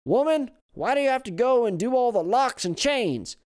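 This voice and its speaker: A man's voice: extremely high pitch, expressive, normal speed, a little mellow, voice pushed up. A man voicing an old country wife who fusses and bosses her husband, with a sweet drawl. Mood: fussing. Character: old Southern country wife, bossy and fussing.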